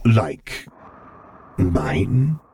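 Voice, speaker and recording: A man voicing a grave, anxious masked cyborg father who speaks in formal, deliberate, protective phrases. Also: deep voice, sing-song, warm tone, a little hiss or hum, heavily acted. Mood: stunned.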